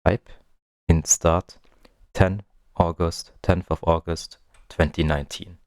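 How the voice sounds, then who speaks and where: A man's voice: sits low, level tone, slow pace, no echo. A man giving casual gaming commentary, muttering to himself as he puzzles things out. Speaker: game streamer, let's-player.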